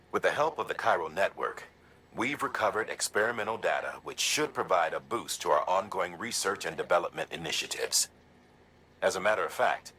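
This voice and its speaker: An actor playing an authoritative commander delivering a scripted mission briefing in a video game. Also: male voice, sits low, bright, forceful tone, a little hiss or hum. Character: authoritative male commander briefing on research progress.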